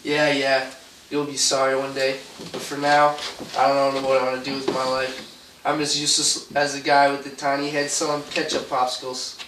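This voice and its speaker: A man's voice: medium pitch, completely flat delivery, moderate pace, close to an everyday voice. A man playing a bitter, taunting fired worker in an amateur stage play, with a theatrical manner. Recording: echoey, distant room with noise.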